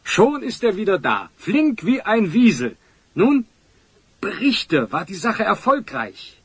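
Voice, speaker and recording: A man playing a pompous, florid older wealthy magnate with a husky voice in a children's audio drama. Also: high-pitched, huge pitch swings, slow pace, dry recording, heavily acted. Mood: jovial.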